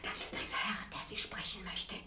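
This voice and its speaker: A woman's voice: normal speed, in a whisper, natural voice. An actress playing a cantankerous, suspicious hotel landlady with a blunt, scolding manner in a German radio crime drama. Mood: wary, defensive. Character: cantankerous, sharp-tongued hotel landlady, suspicious of her tenants.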